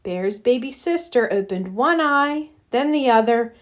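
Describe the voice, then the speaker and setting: A woman reading a children's story aloud in an expressive, scripted storytelling style. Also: on the high side, a little slow. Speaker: storybook reader.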